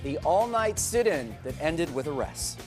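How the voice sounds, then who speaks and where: A man's voice: fairly high pitch, very animated, measured pace, over music. An American man anchoring a TV newscast, moving between reading copy and conversational banter with a co-anchor. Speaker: TV news anchor.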